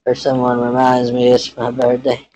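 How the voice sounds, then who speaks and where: A man playing a flat-toned, easygoing Texan rancher. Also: mid-range voice, a crowd in the background, close to an everyday voice, rough recording. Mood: folksy, frank. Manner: halting, folksy, rambling. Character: laid-back young Texan rancher man, talkative and easygoing. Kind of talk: improvised prank phone call in character.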